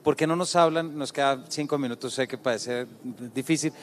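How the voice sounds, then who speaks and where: A man's voice: mid-range voice, moderate pace, a little hiss or hum. A man moderating a literary panel, keeping an eye on the time as he draws the authors into conversation. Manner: prompting and time-conscious.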